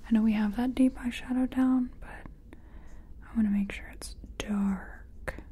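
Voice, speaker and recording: A woman doing a soft-spoken ASMR personal attention roleplay, murmuring gently in short phrases with a breathy whisper. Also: on the high side, fairly even intonation, a little slow, slight background noise. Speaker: ASMR creator role-playing a makeup artist.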